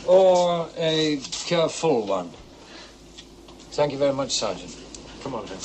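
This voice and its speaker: An actor playing a retired older criminologist in a 1960s television drama, speaking in a dry, wry manner with probing questions. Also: male voice, a little high, big pitch swings, measured pace, background noise.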